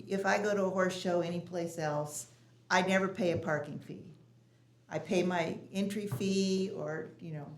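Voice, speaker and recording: A woman giving public comment at a city commission meeting, indignant, with frequent restarts. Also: fairly low pitch. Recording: echoey meeting room.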